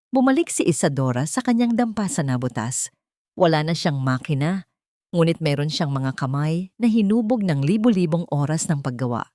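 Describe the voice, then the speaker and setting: Female Filipino narrator for dramatic audiobook fiction; lowish register, very dry recording. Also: a little mellow, dry recording. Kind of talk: dramatic scripted story narration.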